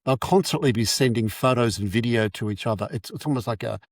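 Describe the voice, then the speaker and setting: A man's voice: mid-range voice, quick pace, dry recording, studio quality. Rambling middle-aged male podcast host. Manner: rambling, many restarts and fillers. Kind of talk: casual interview chat.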